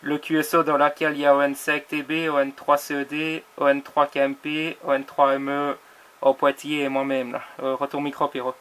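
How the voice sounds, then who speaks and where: A man talking informally and haltingly, as an amateur radio operator in a casual exchange. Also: fairly high pitch, completely flat delivery, a bit bright, slight background noise. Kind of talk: casual radio chat with callsigns and spelling.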